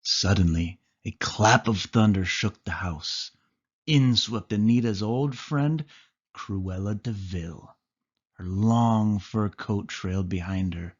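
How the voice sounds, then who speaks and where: A man reading a children's story aloud as storyteller, with expressive narration. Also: lowish voice, slow pace, husky, highs cut off.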